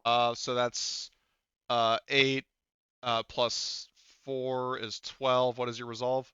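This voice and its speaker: A man's voice: mid-range voice, level tone, takes it slowly, sharp tone, smooth, no room sound. A man speaking as game master of a tabletop roleplaying game, casually profane, talking players through the rules. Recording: dull, lo-fi close dry voice track.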